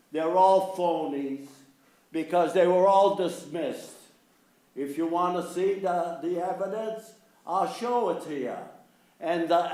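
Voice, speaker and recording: An elderly man in his nineties with a somewhat high voice talks slowly and deliberately, pressing his point and checking that he is understood. The sound is roomy and echoey, like a civic meeting hall. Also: darkish tone. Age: 90s. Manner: insistent, repeatedly asks if listeners understand. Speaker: resident giving public comment. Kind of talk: unscripted public comment at a meeting. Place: municipal meeting room.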